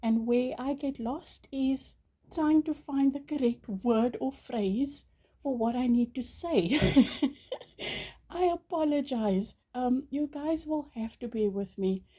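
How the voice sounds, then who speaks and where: A woman's voice: high voice, takes it slowly, warm tone, husky. A woman whose first language is Afrikaans, teaching crochet in a casual, chatty way with many ums and apologetic restarts. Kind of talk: casual tutorial talk.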